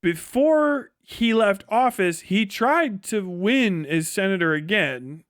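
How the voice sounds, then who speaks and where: A man's voice: sits high, animated, slow pace, fairly bright tone, no echo, studio quality. A man giving casual, ranting live-stream political commentary in a profane, scornful, emphatic way, often repeating phrases for emphasis.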